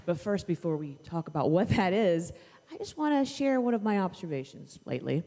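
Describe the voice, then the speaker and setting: A woman's voice: lowish voice, expressive, fairly quick, warm tone. A woman speaking informally and off script to children and adults at a church service, conversational and asking questions. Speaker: teacher leading children's time at church. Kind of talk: informal talk to a congregation with children. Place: church sanctuary. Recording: echoey hall with long reverb.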